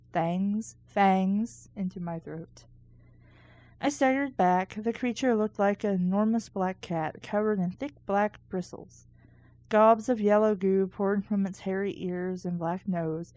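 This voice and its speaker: A woman's voice: medium pitch, unhurried, a little mellow, no room sound, muffled recording. A woman casually reading a book aloud, with sarcastic, mildly crude asides and stumbles she corrects as she goes. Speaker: reader and reviewer of children's books.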